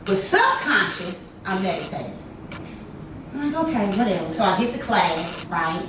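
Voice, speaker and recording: A woman hosting a workshop, telling stories to a small group in a lively, chatty way, with a lot of "you know.". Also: medium pitch, normal speed, far from the mic. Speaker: workshop host. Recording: echoey room, from afar, noisy.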